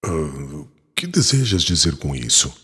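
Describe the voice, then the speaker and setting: A man's voice: extremely low pitch, big pitch swings, unhurried, pushed and bright, breathy edge, exaggerated voice. An actor playing a troubled, earnest male former rabbi new to his faith, speaking in solemn, formal phrases in an audiobook drama. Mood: puzzled. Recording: long reverb, music behind the voice.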